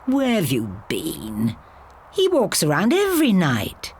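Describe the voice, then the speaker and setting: Woman reading as a cocky, exasperated boy, lively pitch. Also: takes it slowly, exaggerated voice. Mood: scornful. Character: cocky, exasperated boy, scornful know-all. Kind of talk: audiobook character dialogue.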